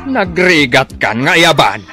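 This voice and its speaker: A man's voice: a little high, sing-song, unhurried, music in the background. An actor with an Ilocano accent playing a sneaky, hen-pecked older village man who stammers when cornered, in a radio comedy drama. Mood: sly.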